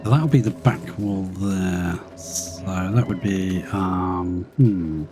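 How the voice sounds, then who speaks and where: A man casually commenting on his live gameplay, chatty and thinking aloud as he plays, his voice slightly croaky after being ill. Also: sits low, takes it slowly, noisy background. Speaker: live streamer.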